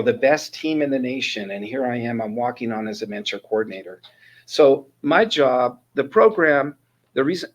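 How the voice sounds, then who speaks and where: A man in his sixties from Northern California, a ministry mentor coordinator telling his life story as an interview guest, earnest and prone to restarting his sentences. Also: medium pitch. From Northern California, USA. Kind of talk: casual interview talk, personal testimony.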